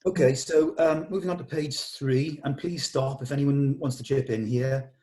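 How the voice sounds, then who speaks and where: A man leading a script table read, explaining notes to actors and often pausing mid-thought. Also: medium pitch, steady tone, warm tone.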